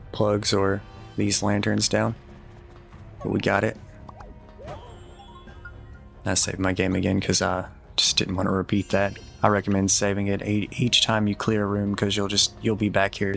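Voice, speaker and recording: A young man talks through a video game walkthrough in an easygoing, instructive way, now and then poking fun at his own mistakes. His voice is low and gravelly, with a flat, level delivery. Faint game music plays behind him. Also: normal speed. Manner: easygoing, instructive, slightly self-deprecating. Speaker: gameplay guide commentator. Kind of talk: relaxed walkthrough commentary.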